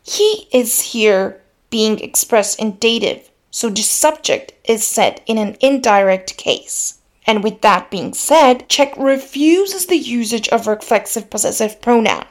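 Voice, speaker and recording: A woman's voice: a little high, expressive. Woman teaching grammar. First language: Serbian. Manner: measured, explanatory, switches into Slavic example phrases. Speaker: podcast host teaching linguistics. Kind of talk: scripted explanatory lesson.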